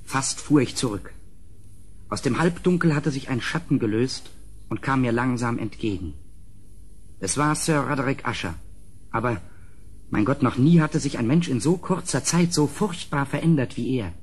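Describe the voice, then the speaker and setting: An actor playing an uneasy gentleman who recounts a gothic horror tale in measured, dramatic literary phrasing for a German radio play. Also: mid-range voice, expressive, husky. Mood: uneasy, foreboding. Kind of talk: scripted radio play narration.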